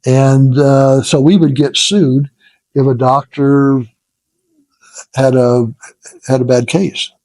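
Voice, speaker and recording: A man in his seventies telling his story as an interview guest, casual and reflective, with a halting, self-deprecating way of talking and frequent chuckles. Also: medium pitch, a little mellow, no room sound. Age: 70s. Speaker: interview guest, retired business founder.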